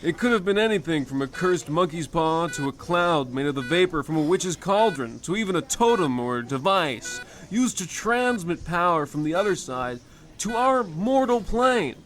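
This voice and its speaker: Man playing a high-voiced, irritable quack doctor. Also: animated, normal speed, a bit bright, faint music behind. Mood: pompous. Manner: pompous, scolding. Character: brusque, irritable quack doctor, bossy and menacing. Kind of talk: comedic old-time radio play acting.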